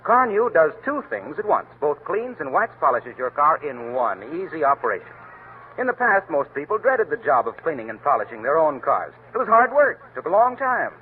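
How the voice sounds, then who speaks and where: Male radio announcer, salesman-like pitch, fairly high voice; phone-thin vintage sound. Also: very animated, normal speed. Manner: emphatic, salesman-like. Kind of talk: scripted commercial and show announcing. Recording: tinny lo-fi old radio broadcast, faint hiss.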